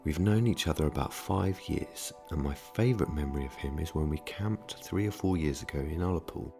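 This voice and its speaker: Very deep, gravelly male podcast host. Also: fairly quick. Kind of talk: scripted personal narration. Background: music.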